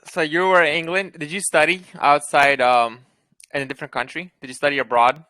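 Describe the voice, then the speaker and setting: A man's voice: a little high, fairly even intonation, a little fast, sharp tone, dry recording. A man on an online call asking blunt follow-up questions to clarify answers. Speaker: AMA questioner.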